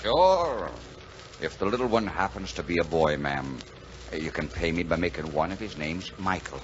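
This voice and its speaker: An actor playing a gruff but kindhearted bachelor delivery driver in a folksy, exclamatory 1940s radio drama style. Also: male voice, low-pitched, animated, normal speed. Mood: warm, jovial. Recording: dull, noisy 1940s radio recording.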